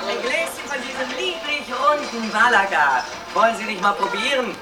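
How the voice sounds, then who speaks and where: An actress playing a gushing store wine promoter delivering rehearsed sales patter in a radio play. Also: female voice, expressive, normal speed, music in the background, natural voice. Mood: sales-sweet. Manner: honeyed, rehearsed sales pitch. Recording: echoey, distant from the mic.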